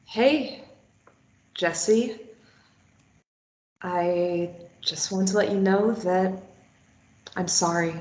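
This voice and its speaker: Woman reading a bitter, self-blaming grieving woman. Mid-pitched. Dull, echoey table-read recording. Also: extremely slow, pauses often, a little mellow. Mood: tender. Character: guilt-ridden young woman, former addict, grieving. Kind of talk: table read of a stage play. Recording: dull echoey room sound.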